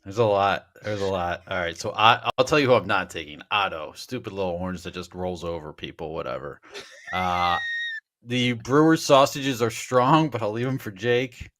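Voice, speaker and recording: A man's voice: lowish voice, moderate pace, fairly bright tone. A man co-hosting a sports betting show, chatting casually with wry, joking asides. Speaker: podcast co-host.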